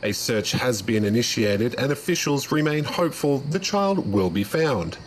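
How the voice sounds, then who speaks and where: An actor playing a grave, measured radio news anchor reading a scripted bulletin. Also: male voice, medium pitch, animated, slight background noise, close to an everyday voice. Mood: grave, formal.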